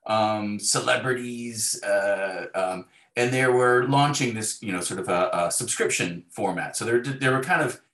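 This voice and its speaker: Low, echoey man in casual conversation. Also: fairly bright tone. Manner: halting, circling phrases with many hedges. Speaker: guest, novelist. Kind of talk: casual interview chat. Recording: roomy, echoey sound.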